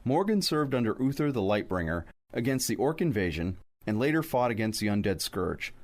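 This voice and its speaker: Male podcast narrator, lowish voice, articulate scripted storytelling with a wry streak. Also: very animated, fairly quick, darkish tone. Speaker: podcast host.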